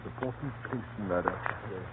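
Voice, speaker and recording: Casting: male actor as imperturbable manservant, dry and proper, for a vintage radio play with clear hiss. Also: sits low, quick pace, natural voice. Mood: dry, correcting. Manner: formal, dry, deferential. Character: unflappable, deferential English butler. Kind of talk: 1930s radio acting. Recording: noisy, tinny 1930s radio broadcast.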